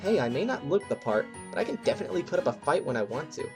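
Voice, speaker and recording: Lady doing an eager scrappy spirit, talks fast and bouncy, audio drama. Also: low-pitched, sing-song, music in the background. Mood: eager, bold. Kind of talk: amateur audio drama acting.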